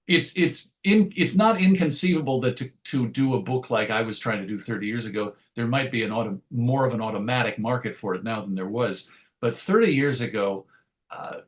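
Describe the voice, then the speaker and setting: Wry middle-aged man reminiscing. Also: mid-range voice. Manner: wry, self-deprecating. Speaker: interview guest. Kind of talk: conversational interview answers, reminiscing.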